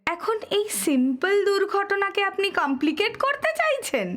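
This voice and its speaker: An actress playing a stern, defensive school principal in an audio drama, formal and crisp in her manner. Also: very high-pitched, big pitch swings, normal speed, a bit bright, full character voice. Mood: reproachful. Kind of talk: Bengali audio drama acting.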